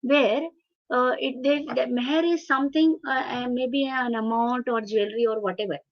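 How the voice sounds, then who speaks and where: A woman from India with an Indian English accent and Marathi as her first language, lecturing on translation. She talks haltingly, with many fillers and restarts. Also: on the high side, fairly even intonation, dull audio. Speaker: webinar speaker on translation. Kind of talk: lecture with audience questions. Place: video call webinar.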